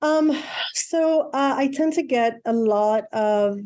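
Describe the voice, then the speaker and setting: A woman in her forties who grew up in Iran, answering interview questions thoughtfully with many pauses and restarts. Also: fairly high pitch, warm tone. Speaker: learning specialist guest.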